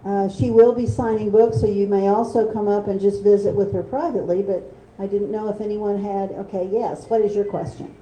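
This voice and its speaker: Woman moderator, very mellow dark tone, affirming; roomy bookstore acoustics. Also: mid-range voice, fairly even intonation, a little fast. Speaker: event interviewer. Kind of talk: moderating a book talk. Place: bookstore event space.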